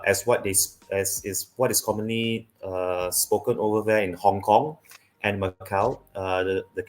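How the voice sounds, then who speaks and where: A man from Malaysia co-hosting a casual livestream, talking in a measured, explanatory way with many fillers. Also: fairly low pitch. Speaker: livestream co-host.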